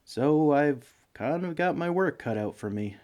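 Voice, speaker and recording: A man acts out a broke, bumbling young male villain from next door in a comedy audio roleplay. He talks slowly, with pitch that swings widely, rambling sheepishly through hesitant asides as he angles for favors. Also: expressive, a little mellow, lo-fi sound. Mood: persuasive, boastful. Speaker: roleplay voice actor. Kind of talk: scripted comedic audio roleplay, one side of a conversation.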